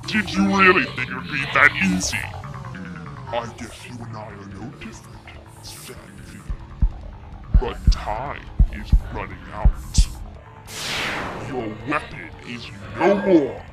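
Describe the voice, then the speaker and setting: A man voicing a sadistic, mocking demonic villain with theatrical menace in a comic dub, over loud music. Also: medium pitch, sing-song, a little slow. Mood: smug. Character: sadistic, taunting demonic villain possessing a child. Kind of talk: dramatic comic dub acting.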